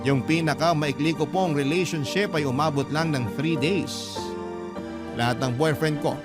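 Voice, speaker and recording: A man with a Filipino accent narrating a scripted first-person story as a radio storyteller reading a letter. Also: a little high. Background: loud music bed.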